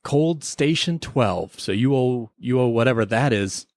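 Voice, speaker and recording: A man's voice: medium pitch, expressive, a little slow, darkish tone, dry recording. Mock-formal male podcast host, dry sound. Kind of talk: semi-scripted mock-tribunal podcast banter.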